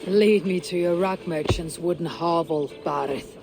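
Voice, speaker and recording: A woman's voice: on the low side, normal speed, background noise, lightly acted. A woman voicing a blunt Viking warrior. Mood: terse. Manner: terse, blunt.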